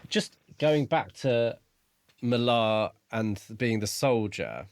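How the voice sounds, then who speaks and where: A man co-hosting a podcast, casually picking through a book in a measured, analytical way. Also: medium pitch, no room sound, clean studio sound. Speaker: podcast co-host.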